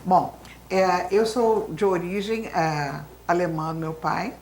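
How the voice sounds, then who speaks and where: A woman's voice: lowish voice, slow pace, darkish tone, a little hiss or hum. Spanish-native woman recounting her life story. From Brazil. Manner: long storytelling, lots of fillers like né and então, assim. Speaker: retired professor, interview guest. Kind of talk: reminiscing life story in an interview.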